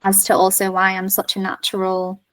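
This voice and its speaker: A woman's voice: mid-range voice, monotone, normal speed, a bit bright, distant mic. A woman, a coach and yoga teacher, speaking as a podcast guest in a candid, frank way. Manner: candid, frank, laughs easily. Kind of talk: casual podcast conversation.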